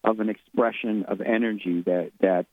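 A man heard through a tinny phone line speaks as a radio guest. His voice sits low and the sound is dry. He talks reflectively and repeats words as he feels his way forward. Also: fairly even intonation, moderate pace, dry recording. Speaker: guest, author and medium. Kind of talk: radio interview.

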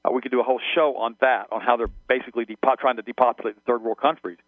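A man's voice: medium pitch, steady tone, very fast, dry recording. A man whose first language is German, a guest on a radio call-in interview, rambling and often repeating words and restarting. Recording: tinny phone line, dry.

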